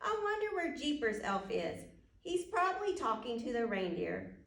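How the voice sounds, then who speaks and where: Woman, slightly high voice, storybook reader, talks straight to children, distant mic, roomy sound. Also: big pitch swings, normal speed, gravelly. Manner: addresses young listeners directly with questions. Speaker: storyteller reading a children's book aloud. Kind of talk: children's storytime read-aloud. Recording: roomy echo, distant microphone.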